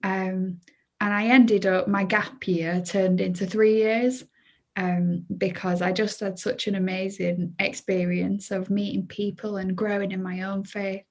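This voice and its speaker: Woman, 20s, interview guest, even monotone delivery. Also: medium pitch, unhurried. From Leeds, England. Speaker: guest, charity youth worker. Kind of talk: interview answers.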